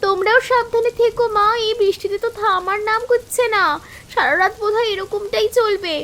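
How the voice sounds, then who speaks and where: A village auntie from a cartoon, calls out in an extremely high voice. She sounds motherly and concerned. Rain can be heard around her. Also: steady tone, fairly quick, a bit bright. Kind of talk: animated story dialogue.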